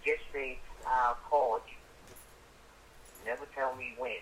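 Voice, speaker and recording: A man's voice: medium pitch, fairly even intonation, slow pace, fairly bright tone. An older man chatting casually over the phone, folksy and insistent, leaning on repeated catchphrases. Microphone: phone call. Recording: noisy telephone call played over speaker.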